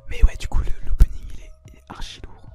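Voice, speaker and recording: A young adult whispers a casual anime review as co-host, in informal youth slang. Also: quick pace. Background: music.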